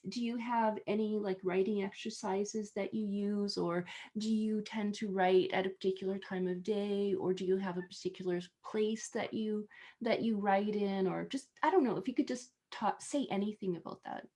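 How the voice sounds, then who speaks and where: A woman's voice: mid-range voice, fairly even intonation, normal speed, a little mellow. A young woman interviewer speaks through a headset on a video call from her home office. She asks her questions in a chatty, rambling way, stopping and restarting as she goes. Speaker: interview host. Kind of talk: casual interview chat.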